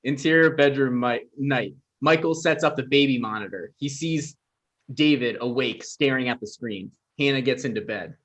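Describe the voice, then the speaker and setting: A man's voice: medium pitch, moderate pace, a bit bright. Man narrating, dull lo-fi sound. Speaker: narrator reading stage directions. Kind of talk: scripted narration at a table read.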